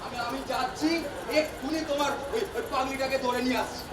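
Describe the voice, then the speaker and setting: A man plays a comic old God on stage, very high in pitch and far from the mic. He blusters pompously, stammers and repeats himself like a flustered ruler. The noisy hall recording carries audience sound. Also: steady tone, fast talker. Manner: stammering, repetitive, pompous when addressing crowds. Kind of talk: comic Bengali stage play acting. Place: theatre stage at a drama festival.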